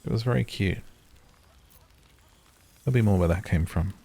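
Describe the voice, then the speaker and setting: A man's voice: sits low, moderate pace, gravelly, mellow. A man doing cozy, casual game commentary, musing and rambling as he plays. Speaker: video game streamer.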